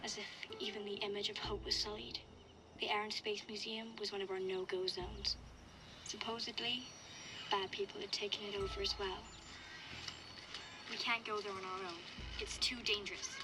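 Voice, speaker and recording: Woman as a young survivor girl, flat, measured delivery. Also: medium pitch, noisy background, lightly acted. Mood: somber, reflective. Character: young girl survivor recording a diary, wary and reflective. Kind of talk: first-person audio log narration.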